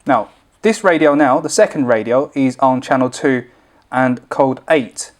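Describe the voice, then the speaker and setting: A man's voice: medium pitch, a little slow. A man presenting an informal product tutorial, explaining each step in a plain, instructional way.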